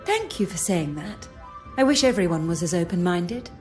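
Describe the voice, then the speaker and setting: A woman's voice: fairly low pitch, big pitch swings, moderate pace, soft background music. An actress playing a self-possessed, polite society woman in a mystery game, articulate and composed. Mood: pleased, polite. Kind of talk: video game voice acting.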